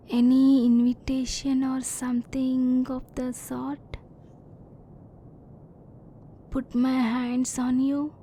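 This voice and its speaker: A woman voicing a curious, reserved female alien in an ASMR roleplay, speaking softly in formal, stilted phrases, at times close to a whisper. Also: on the high side, slight background noise. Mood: attentive, unsure. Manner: soft-spoken, sometimes near whisper.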